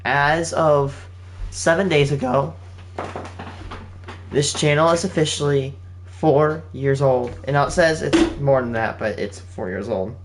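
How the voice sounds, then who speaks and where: An eighteen-year-old man talks casually to his viewers from home, in a voice of ordinary middle pitch. He rambles and restarts his sentences often, with plenty of fillers. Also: measured pace, noisy background. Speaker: channel host. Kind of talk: casual spoken update to viewers. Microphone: home setup with game console running nearby.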